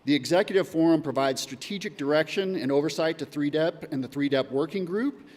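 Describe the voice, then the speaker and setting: A man's voice: fairly high pitch, fairly quick, darkish tone, long reverb tail. A man giving a prepared conference presentation as a coordinator, reading steadily with occasional fillers. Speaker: data acquisition coordinator. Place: conference hall.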